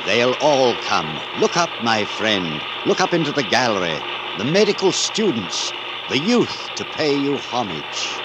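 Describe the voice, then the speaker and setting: A ceremonious dignitary, very noisy recording. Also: male voice, mid-range voice, very animated, a little slow. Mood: reverent, exalted. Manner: ceremonious, declamatory. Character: stately, reverent male dignitary honouring a scientist. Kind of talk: 1940s radio acting. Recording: very noisy old radio recording.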